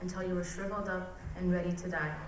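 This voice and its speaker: A young woman reciting a spoken word poem at a community event, with a measured, solemn delivery. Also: lowish voice, flat delivery. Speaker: poet performing at a cultural event. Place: large event hall. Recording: echoey hall from far off, faint noise.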